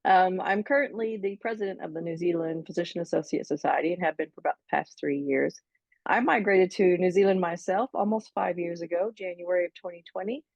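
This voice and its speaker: A woman's voice: on the low side, vocal fry, a little mellow, dry recording, lo-fi sound. An American woman in her forties, a physician associate answering interview questions in a friendly, candid way. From United States. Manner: friendly, candid, frequent ums.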